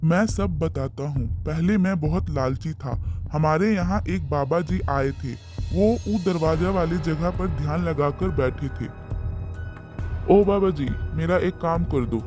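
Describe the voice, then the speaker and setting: A man's voice: a little high, unhurried, a little mellow, natural voice. A man voicing a greedy, bullying villager in a children's cartoon story, insistent and wheedling in manner. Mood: scheming. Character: Ramesh, greedy bullying man, pleading when cornered. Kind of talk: animated children's moral story dialogue. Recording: music behind the voice.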